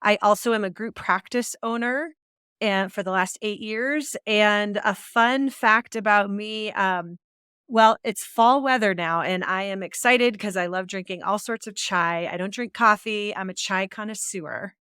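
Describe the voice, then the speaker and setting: A woman's voice: medium pitch, measured pace, a bit bright, clear, resonant tone, dry recording, clean studio sound. A woman introducing herself casually as a guest panelist on a podcast. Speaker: podcast host as guest panelist, practice owner.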